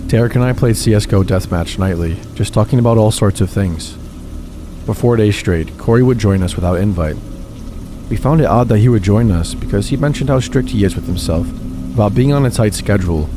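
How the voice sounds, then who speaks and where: A man narrating first-person gaming horror stories in a steady, measured reading. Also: fairly low pitch. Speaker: channel host reading submitted stories. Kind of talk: scripted horror story narration.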